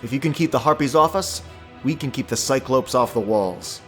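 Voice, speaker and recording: A man playing a bold young warrior in a fantasy audio drama, speaking in a forceful, projected way. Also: medium pitch, animated, moderate pace, soft background music, close to an everyday voice. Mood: determined. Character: bold young male warrior rallying soldiers.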